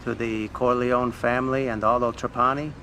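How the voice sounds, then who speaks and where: An older mob financier, bright, crisp tone, formal speechmaking, faint street noise. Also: male voice, mid-range voice, little pitch movement, moderate pace. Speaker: host of a toast.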